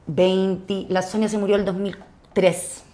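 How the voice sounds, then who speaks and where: A middle-aged woman with a Chilean accent talks in a middle register. Her delivery is casual and colloquial, with halting phrases as she thinks aloud while remembering. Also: mid-range voice, flat delivery, a crowd in the background. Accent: Chilean Spanish. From southern Chile. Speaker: actress, interview guest. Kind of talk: casual reminiscing conversation.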